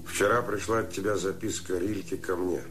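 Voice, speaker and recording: A man's voice: deep voice, fairly even intonation, moderate pace, over music, lightly acted. An actor playing a hurt, brooding man in a radio play, pleading in a letter to the woman he loves. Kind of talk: poetic radio play monologue.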